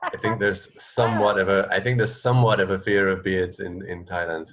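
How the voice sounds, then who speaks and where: Male co-host, very low voice, informal trivia banter for radio. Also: level tone, fairly quick, fairly bright tone. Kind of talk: casual chat, sharing trivia.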